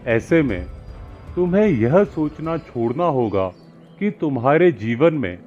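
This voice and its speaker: Guy as a calm wise guru in a moral story, really slow preachy delivery, lots of pauses, bit muffled w music behind. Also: medium pitch. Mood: counselling, instructive. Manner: measured, didactic, deliberate pauses. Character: calm, wise village holy man giving counsel. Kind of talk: acted moral-story dialogue.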